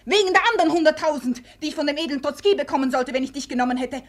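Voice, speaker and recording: An actress playing a proud, scornful, reckless society beauty in a theatrical, commanding manner in a radio play. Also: female voice, high voice, racing, close to an everyday voice. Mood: bitter, contemptuous. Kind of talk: German radio play acting.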